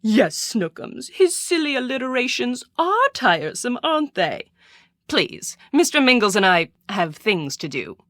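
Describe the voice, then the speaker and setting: A woman's voice: a little high, big pitch swings, unhurried, a bit bright, no room sound, clean studio sound. An actress in a video game playing a pampered, imperious rich woman who dotes on her little dog, speaking theatrically and giving orders. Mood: doting, cooing. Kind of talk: scripted video game voice acting.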